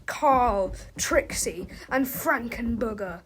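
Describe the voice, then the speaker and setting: A woman's voice: fairly high pitch, takes it slowly, slight background noise. A woman reading a children's storybook aloud as narrator, in a dramatic, theatrical manner.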